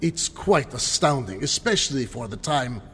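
A man's voice: medium pitch, big pitch swings, moderate pace, fairly bright tone, in a large hall, a little hiss or hum. A man playing an eager, theatrical, erudite scientist-adventurer in an old-time radio style adventure drama. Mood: admiring, lecturing. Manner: dramatic, erudite, grandly enthusiastic.

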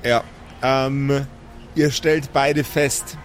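A man's voice: mid-range voice, fairly even intonation, drawn-out words, soft background music. A young man acting as game master in an improvised audio play, narrating animatedly with frequent restarts. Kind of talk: improvised narration and table chat.

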